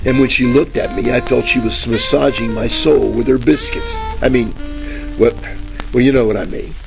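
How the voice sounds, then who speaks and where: A wisecracking private eye from a 1940s radio parody, played by a man. He has a gritty, creaky voice and a deadpan, sarcastic way with overblown similes. Music plays under the crackly old-time broadcast. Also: mid-range voice, moderate pace, lightly acted. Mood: wry, deadpan. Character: wisecracking hard-boiled male private detective, bumbling and sarcastic. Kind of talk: 1940s radio detective parody, noir first-person narration.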